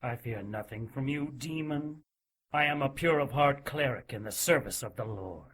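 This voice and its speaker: Casting: actor as righteous male cleric, husky mid-pitched voice, cold and formal, audio drama. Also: normal speed, fairly bright tone, natural voice, rough recording. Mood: stern, defiant.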